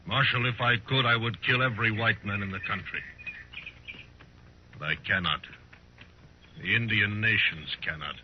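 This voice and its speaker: An actor playing a proud, embittered middle-aged war leader in a 1950s radio western, speaking in formal, measured sentences. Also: male voice, lowish voice, natural voice. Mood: proud, bitter. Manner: formal, measured speech without contractions. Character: proud, embittered older Cheyenne war leader, grave and fatalistic. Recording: noisy, muffled 1950s radio recording.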